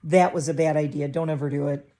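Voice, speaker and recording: A woman's voice: low-pitched, fairly even intonation, quick pace, mellow. A middle-aged woman vlogger chatting casually to camera from her living room, rambling and interrupting herself, a little stuffy with a scratchy throat.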